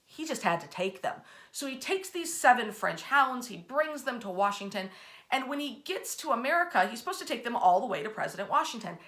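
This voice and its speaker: A woman hosting as a storyteller, telling history in an animated, informal way with dramatic emphasis and wry, colloquial asides. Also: fairly high pitch, a little fast. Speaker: host and storyteller of a history series.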